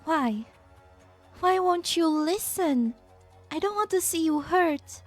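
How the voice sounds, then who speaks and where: A gentle, idealistic lady scientist, played by a woman, speaks in a high voice. Her delivery is slow and earnest, in game-drama style with music behind it. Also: expressive, heavily acted. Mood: pleading, earnest. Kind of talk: dramatic fan-dub voice acting.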